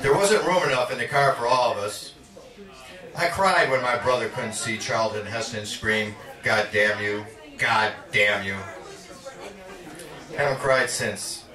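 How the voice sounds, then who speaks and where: A man reading poetry aloud at a live reading in a measured, dramatic spoken-word delivery. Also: on the low side, a crowd in the background. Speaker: poet. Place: indoor literary cafe reading. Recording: echoey, distant live recording with audience. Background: audience sounds.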